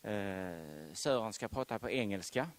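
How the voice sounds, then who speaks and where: Male host, low-ish voice, halting introduction to a lecture hall audience. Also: animated, slight background noise. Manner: hesitant, many fillers. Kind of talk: introducing a guest speaker.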